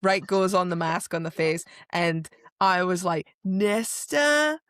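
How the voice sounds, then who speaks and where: Female podcast co-host, lowish pitch, dry studio-close sound, excitable and slangy while retelling fiction. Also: takes it slowly, no room sound. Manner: animated, exclamatory, slangy, dramatic quoting. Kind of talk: excited casual book chat, retelling scenes.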